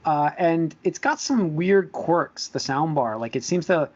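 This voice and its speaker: A man with a slightly high-pitched voice chats casually as a podcast host. He rambles and wanders between points. The audio is somewhat dull and lo-fi. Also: expressive, a little slow, darkish tone.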